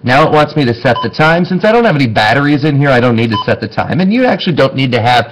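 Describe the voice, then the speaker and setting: American man, middle-aged, medium pitch, conversational how-to presenter, explanatory, noisy indoor camera recording. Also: very animated, fast. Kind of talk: informal instructional demonstration to camera. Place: indoor TV station office with desk and monitors. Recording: clearly noisy room sound, clicks.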